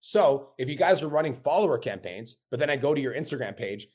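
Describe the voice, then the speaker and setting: A man's voice: medium pitch, expressive, quick pace, darkish tone. A man teaching a live coaching webinar, blunt and casual with frequent profanity. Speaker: business coach.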